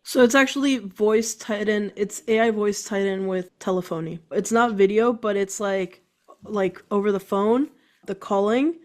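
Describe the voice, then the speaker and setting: Quick, enthusiastic woman explaining to a host. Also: medium pitch, darkish tone. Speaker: guest entrepreneur. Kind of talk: casual interview chat.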